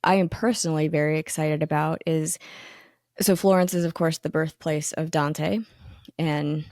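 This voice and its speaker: A woman's voice: low-pitched, steady tone, moderate pace, a little mellow, no room sound, clean studio sound. A woman co-hosting a podcast in a casual, enthusiastic chat, with frequent ums and restarts. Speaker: magazine editor, co-host.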